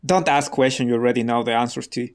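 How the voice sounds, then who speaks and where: An actor playing a guarded, defiant radical under questioning in a radio drama, terse and defensive. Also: male voice, mid-range voice, steady tone, fast, natural voice. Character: guarded, defiant male radical being interrogated.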